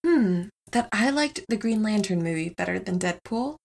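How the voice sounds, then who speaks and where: An actress playing a nonchalant, teasing wife who is secretly a superstrong heroine, in an audio comedy drama. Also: female voice, medium pitch, animated, moderate pace, vocal fry, darkish tone.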